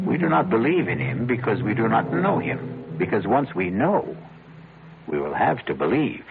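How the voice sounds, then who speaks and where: An old lo-fi radio broadcast with music behind a low-voiced man hosting a suspense drama. His narration is theatrical and ominous, rising and falling expressively. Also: creaky.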